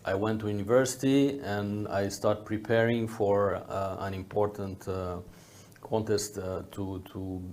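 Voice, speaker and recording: Low-voiced man, halting in thought. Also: little pitch movement, darkish tone. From Brazil, grew up in Rio. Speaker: interview guest, hotel executive. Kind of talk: conversational interview answers.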